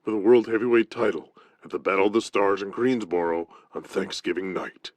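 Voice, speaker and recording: Guy acting as earnest wrestling champ doing a promo, low voice, very dry sound, formal. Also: brisk, no echo, close to an everyday voice. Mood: solemn, confident.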